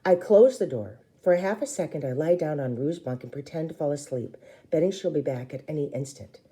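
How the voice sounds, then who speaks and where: A woman reading a children's book aloud in an expressive, theatrical way, acting out the dialogue. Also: sits low, a little fast. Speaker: storybook reader.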